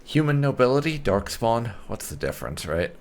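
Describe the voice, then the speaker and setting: A man's voice: medium pitch, very animated, moderate pace, creaky, a little hiss or hum. A man giving casual let's play commentary as a gaming host, musing aloud with wry asides and some roleplay.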